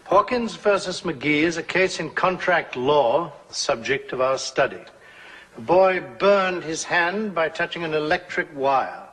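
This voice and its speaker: An actor playing an exacting older law professor in a film, lecturing formally and putting pointed questions in a reverberant hall. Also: male voice, on the high side, animated, a little slow, a bit bright, slightly rough, exaggerated voice. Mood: stern, measured.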